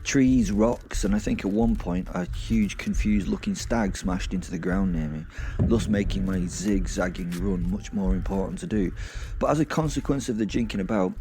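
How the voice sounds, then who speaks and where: A low-pitched, wry Viking warrior with a wolf soul acted by a man tells his own hunt as an audio drama. He rambles conversationally, swears freely and mocks himself in asides, with clear ambient noise behind him. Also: moderate pace. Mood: wry, chatty. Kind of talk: first-person audio drama storytelling.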